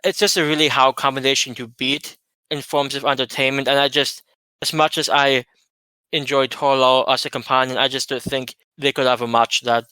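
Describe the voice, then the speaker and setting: A man co-hosting a casual chat, giving his opinions in a steady, easygoing way. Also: medium pitch, normal speed, smooth, no room sound, low-quality recording. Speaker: podcast co-host.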